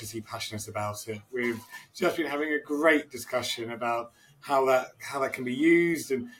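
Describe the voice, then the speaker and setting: A man's voice: mid-range voice, poor audio. A man hosting a podcast, introducing his guest in an upbeat, enthusiastic way. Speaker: podcast host.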